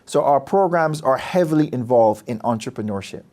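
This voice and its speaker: A man from Barbados, a business school dean speaking about his program in a measured, earnest, persuasive way. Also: medium pitch, expressive, darkish tone. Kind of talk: promotional interview talk.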